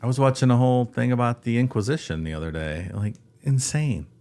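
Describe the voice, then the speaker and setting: A man's voice: mid-range voice, a little fast, dark tone. A man co-hosting a podcast, chatting casually in a laid-back, wry way. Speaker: podcast co-host. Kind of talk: casual chat.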